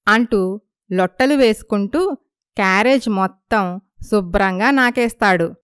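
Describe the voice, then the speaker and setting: A woman's voice: medium pitch, measured pace, fairly bright tone, clean tone, no room sound, studio quality. A woman narrating a scripted moral story.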